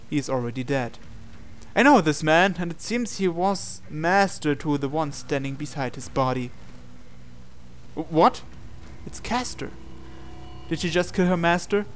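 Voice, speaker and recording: Higher-pitched man reading a visual novel. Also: a bit bright, a little hiss or hum. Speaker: host reading a game aloud. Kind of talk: narrated visual novel playthrough with commentary.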